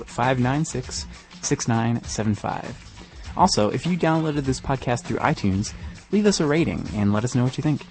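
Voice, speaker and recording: A man's voice: medium pitch, a little mellow. A man co-hosting a podcast, reading scripted news. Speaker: podcast co-host.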